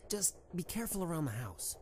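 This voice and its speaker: A woman's voice: on the low side, big pitch swings, fairly quick, darkish tone. A woman playing a young pony prince and fond husband, a protective worrier, conversational and earnest in an amateur audio drama. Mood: worried, earnest. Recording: slightly noisy amateur microphone recording.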